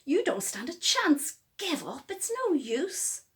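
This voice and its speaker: A woman's voice: high voice, very animated, slow pace, heavily acted. A woman voicing a greedy, stubborn male squirrel in a storybook, hollering bossily and possessively. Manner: hollering, bossy.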